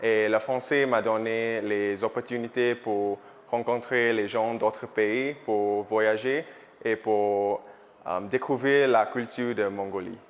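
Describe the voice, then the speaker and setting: Student from Singapore, man, lowish voice, in a reverberant hall. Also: fairly even intonation, slow. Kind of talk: short spoken testimonial.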